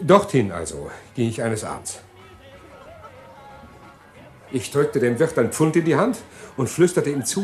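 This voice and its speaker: A stammering, frightened condemned prisoner. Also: male voice, lowish voice. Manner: stammering, pleading. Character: condemned male prisoner, fearful and desperate. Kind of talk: vintage radio play acting.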